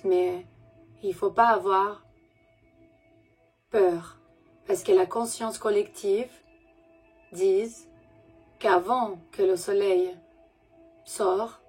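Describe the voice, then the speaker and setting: Very breathy slow-speaking woman. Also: mid-range voice. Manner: gentle, soothing, halting with pauses and self-corrections; speaks French as a second language. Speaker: spiritual messenger. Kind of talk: slow meditative spiritual message to viewers.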